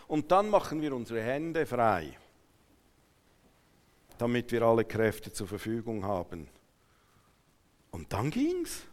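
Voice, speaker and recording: A man with a Swiss German accent preaching a sermon to a congregation, emphatic and swinging between hushed asides and raised declarations. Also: mid-range voice, huge pitch swings, takes it slowly, pauses often. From Switzerland. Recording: long hall reverberation.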